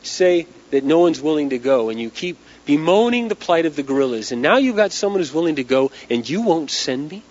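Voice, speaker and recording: A man voicing a feisty, forceful, indignant young woman in an emphatic, dramatic retelling of a film. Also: on the high side, very animated, a little fast, few pauses. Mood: challenging. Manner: pushy, emphatic, dramatic delivery. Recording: dull lo-fi speech recording.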